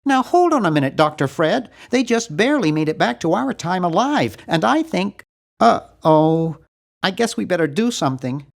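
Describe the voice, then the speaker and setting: A nerdy nasal american kid genius for a cartoon game, voice jumps all over, awkward n fussy n excitable. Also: male voice, sits high, moderate pace, vocal fry, full character voice. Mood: nervous, eager. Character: nerdy, nervous, earnest young man, brainy geek. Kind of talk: cartoon adventure game voice acting.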